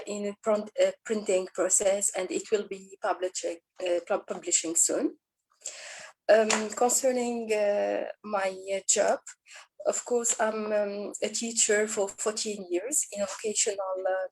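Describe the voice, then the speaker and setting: A middle-aged woman, an Arabic speaker, presenting her book over a video call in a halting, lecturing way. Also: mid-range voice, a little mellow, poor audio. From Tunisia. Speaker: author and teacher. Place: indoor room.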